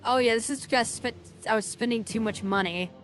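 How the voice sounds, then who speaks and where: A woman talking through her game as a streamer, rambling and filling her talk with "like" and restarts, with a loud voice. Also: on the high side, quick pace, faint music behind. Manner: rambling, lots of fillers and restarts. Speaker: gaming streamer. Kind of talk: casual game commentary.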